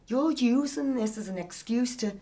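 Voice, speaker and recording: A woman's voice: fairly high pitch, moderate pace, warm tone, voice pushed up, natural voice. A woman voicing a frank, teasing woman friend in an audiobook, affectionate but blunt and chiding. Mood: gentle chiding.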